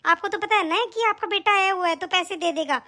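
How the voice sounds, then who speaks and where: A woman voicing a sharp-tongued, argumentative young daughter-in-law in a family skit. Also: very high-pitched, brisk, heavily acted. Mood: indignant. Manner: indignant, argumentative.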